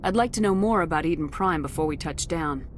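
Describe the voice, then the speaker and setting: An actress playing a tough, direct military commander in a video game, curt and businesslike. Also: female voice, sits low, brisk, soft background music, natural voice. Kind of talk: video game dialogue acting.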